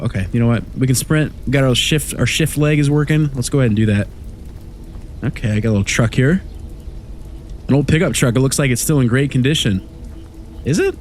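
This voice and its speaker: A man's voice: mid-range voice, darkish tone, slight background noise. A young man with a stuffy voice from a cold, chatting loosely through a horror game as a gaming host. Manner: rambling, easygoing, chatty. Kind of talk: casual let's play commentary. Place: home room at night.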